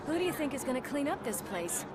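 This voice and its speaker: An actress playing a brisk, tough but caring policewoman in a video game, bantering and giving orders. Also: high-pitched, very animated, over a noisy crowd. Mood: teasing, reassuring. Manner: bantering, commanding. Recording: loud music, noisy crowded street behind.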